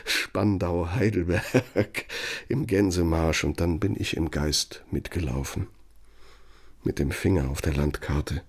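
Gravelly, imperious older man. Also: low voice, slow, exaggerated voice. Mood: sardonic, reminiscing. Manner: pompous, domineering, sardonic. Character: imperious, bitter older former Nazi functionary dictating a letter. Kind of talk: German radio play monologue, letter dictation.

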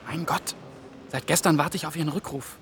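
A man's voice: on the high side, fairly even intonation, brisk, a bit bright, slight background noise, close to an everyday voice. An actor playing a young male EU trainee, tense and worried, musing to himself and talking politely on the phone. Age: young adult. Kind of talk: German TV drama acting.

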